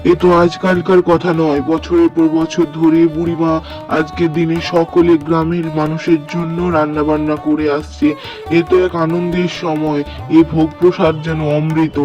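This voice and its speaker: A man's voice: fairly high pitch, flat delivery, normal speed, a little mellow, dull audio, faint music behind, close to an everyday voice. A man voicing a devout, cheerful village man in a Bengali cartoon fairy tale. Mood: reverent, joyful.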